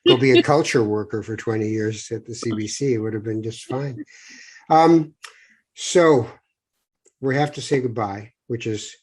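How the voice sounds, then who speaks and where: A middle-aged man from Morocco hosting an interview podcast, telling stories in a lively way and acting out the voices of people he quotes. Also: medium pitch, normal speed, slightly rough, low-quality recording. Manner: animated storyteller, acts out quoted voices, frequent restarts. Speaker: podcast host. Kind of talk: conversational interview.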